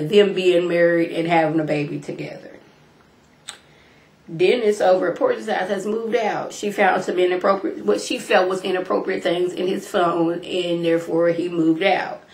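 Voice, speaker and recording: A woman's voice: fairly low pitch, echoey room. Congested woman chatting to her webcam. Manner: chatty, slangy, addresses viewers as y'all. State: congested and slightly hoarse from illness. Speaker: reality TV recap vlogger. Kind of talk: casual recap talk to camera. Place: small room at home, indoors. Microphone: webcam at desk distance.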